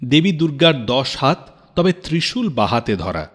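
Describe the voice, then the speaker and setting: A man narrates a radio program in a practiced storyteller's way, his pitch sitting mid-range but swinging widely for dramatic effect. His voice rings with a long reverb, and soft music plays faintly underneath. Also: moderate pace. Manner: expressive, dramatic storyteller pacing. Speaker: radio show host.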